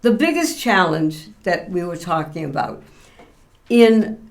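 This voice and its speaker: A woman's voice: on the low side, sing-song, unhurried. A woman in her late eighties interviewing guests in a conversational style as a show host.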